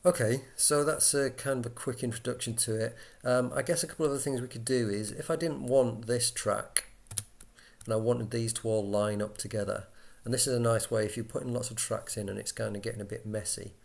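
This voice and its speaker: A man talking through an informal software tutorial, casual and a little hesitant as he thinks aloud into a laptop microphone. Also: mid-range voice, fairly even intonation, fairly quick, gravelly. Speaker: tutorial presenter for radio volunteers. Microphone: built-in laptop microphone. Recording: laptop mic, background noise, key clicks.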